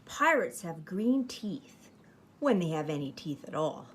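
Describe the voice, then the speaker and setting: A woman storyteller reading a children's picture book aloud with expressive, emphatic phrasing. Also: lowish voice, unhurried, slight background noise.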